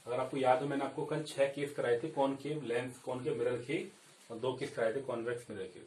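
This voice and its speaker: A man's voice: mid-range voice, steady tone, brisk, a little mellow, distant mic, slight background noise. A young man teaching at a chalkboard, explaining step by step and often checking in with "okay, kids". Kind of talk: chalkboard lesson. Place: classroom at a chalkboard. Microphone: camera set back from the board. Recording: echoey room, voice a bit off-mic.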